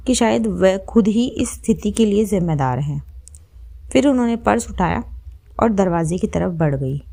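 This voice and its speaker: A woman's voice: medium pitch, a little mellow. Female storyteller for scripted narration, mid-range voice.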